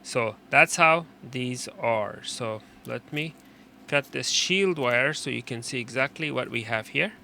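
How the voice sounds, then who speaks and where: A man presenting an unscripted technical how-to, explaining in a halting way and often repeating and rephrasing his instructions. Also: medium pitch, creaky, bright, forceful tone, a little hiss or hum. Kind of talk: unscripted hands-on tutorial explanation.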